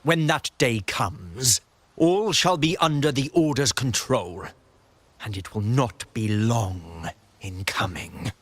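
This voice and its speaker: A very slow, deliberate male voice for a video game villain, a sanctimonious acolyte. He is pompous and formal, with menace in every measured phrase. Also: mid-range voice, expressive, dry recording. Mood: ominous threat. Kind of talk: scripted video game voice acting.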